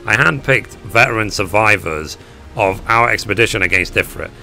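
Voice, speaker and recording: A man voices a confident male officer from a fantasy game, brisk and commanding. The delivery is low-pitched and a little hoarse, with faint game music behind it. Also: bright, forceful tone, exaggerated voice.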